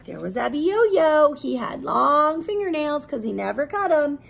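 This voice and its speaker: A woman teacher reading a picture book aloud expressively, pausing to explain things in simple words for young children. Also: high voice, big pitch swings, vocal fry.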